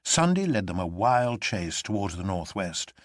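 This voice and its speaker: A man's voice: low-pitched, very animated, moderate pace, dry recording, studio quality. A man reading dramatic scripted narration of a classic novel. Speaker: narrator and reader.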